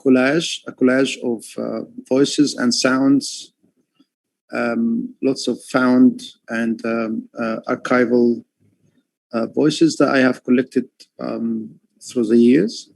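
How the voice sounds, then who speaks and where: A man from Egypt, an artist, talking about his work on a livestream call, often pausing with fillers and restarting his sentences. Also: medium pitch, little pitch movement, creaky, a little mellow, no echo, poor audio. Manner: hesitant, many fillers and restarts. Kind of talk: livestream talk. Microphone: headphones on a call.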